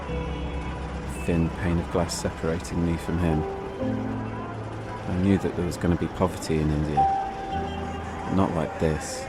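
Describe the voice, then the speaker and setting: A man with a very low voice narrates a personal travel story like an audio drama. He builds suspense in short, measured phrases. Clear music plays underneath him. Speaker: storyteller and podcast host.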